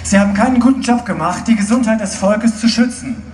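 Woman interpreting loudly at an outdoor rally. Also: mid-range voice, a little fast. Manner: loud, declaiming live translation. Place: outdoor stage at a large crowd rally. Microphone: handheld mic, filmed from far in the crowd. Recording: echoey, distant crowd phone recording. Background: cheering crowd and ambient noise.

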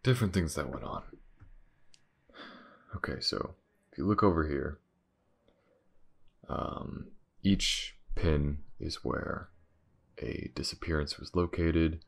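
A man playing a gruff, weary, aloof detective in an audio roleplay, speaking haltingly and informally to the listener in a husky mutter. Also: heavily acted. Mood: weary, businesslike. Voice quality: low, gravelly, husky. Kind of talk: intimate audio roleplay acting, addressed to the listener.

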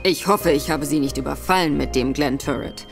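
A woman voicing a sly, affable gentleman in disguise who probes with feigned forgetfulness, in a radio play. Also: sits low, moderate pace, gravelly, music in the background, pitched below the performer's own voice. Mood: probing, sly. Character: smooth gentleman Virgil Barker, a man, sly and probing.

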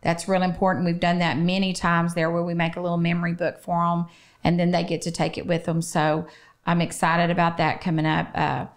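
Low, gravelly middle-aged woman. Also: monotone, brisk. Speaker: children's shelter representative, podcast guest. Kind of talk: conversational interview answers. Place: small podcast studio, indoors. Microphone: close studio microphone on boom arm.

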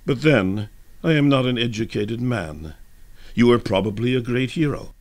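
A man voicing a humble, stubborn, slow-thinking male soldier in a dramatic radio reading. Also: medium pitch, darkish tone, natural voice. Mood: humble, dogged. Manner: humble, dogged.

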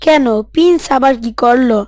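Woman as an earnest, high-voiced devout schoolboy. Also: a little fast, a little mellow, no room sound, clean studio sound, lightly acted. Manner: earnest, preachy, polite. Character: devout, earnest schoolboy Abu Rayhan, bold and principled.

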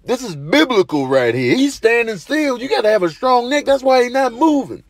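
A man's voice: extremely high pitch, sing-song, moderate pace, dry recording. A man doing comedic voiceover commentary in an exaggerated, incredulous, slangy way.

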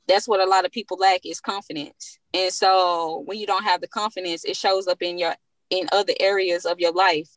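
A woman's voice: on the low side, normal speed, dry recording. Confident motivational woman in her thirties. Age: 30s. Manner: confident, motivational, relaxed phrasing. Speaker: guest, business co-founder. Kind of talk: casual interview chat.

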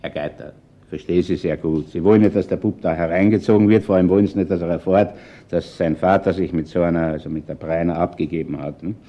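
A shrewd Viennese police inspector in a radio crime play. He has a low voice and an Austrian accent, and he questions people with measured authority. The old recording sounds dull. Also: fairly even intonation. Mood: persuasive. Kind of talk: radio play acting, natural dialogue.

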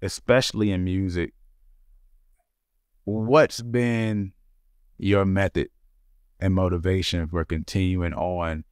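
A man hosting a podcast, asking relaxed conversational questions into a studio boom mic. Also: slow, long pauses, clear, resonant tone, dry recording. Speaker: podcast host, interviewer. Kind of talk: casual interview. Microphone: studio mic on boom arm.

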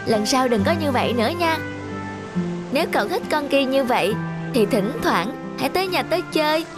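A plucky little cartoon boy, high-pitched and childlike. He is direct and possessive, speaking out plainly over clear background music. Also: animated, moderate pace. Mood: forgiving. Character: plucky, possessive young boy devoted to his dog. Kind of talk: Vietnamese cartoon dubbing.

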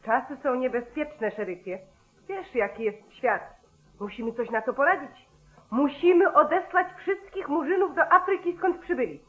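An actress playing a strident, insistent petition campaigner in a 1940s radio play. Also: female voice, high voice, normal speed, close to an everyday voice. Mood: preachy. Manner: insistent, preachy. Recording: thin, phone-like old radio recording.

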